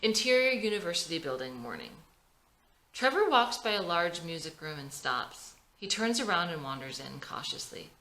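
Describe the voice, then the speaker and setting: A woman's voice: on the low side, creaky, sharp tone. A woman reading a screenplay aloud as scripted narration, in a roomy, echoing recording.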